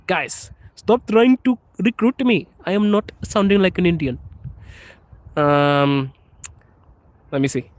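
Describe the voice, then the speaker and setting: A man from Zimbabwe chats casually with his live viewers while walking outside in the cold, reading their comments aloud. His voice sits a little high, and he keeps laughing and joking as he goes, recorded on a handheld phone. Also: takes it slowly, pauses often. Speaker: livestreamer. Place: outdoors in a cold city street.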